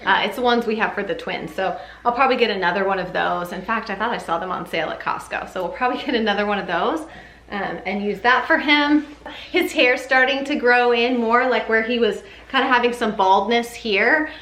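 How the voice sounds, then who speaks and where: Female parenting vlogger, chatty and informal, bright tone, roomy echoing sound. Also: fairly high pitch, a little fast. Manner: chatty, informal, often says "like". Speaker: parenting vlogger giving a baby update. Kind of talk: casual chat to camera. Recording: echoey room with faint background noise.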